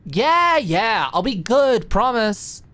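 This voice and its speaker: A woman voicing a brash, defiant young woman who calls out loudly and cockily in an audiobook drama. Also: fairly high pitch, big pitch swings, slow pace, pitched above the performer's own voice, heavily acted. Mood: dismissive. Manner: loud, cocky, theatrical calling out. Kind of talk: audiobook character acting.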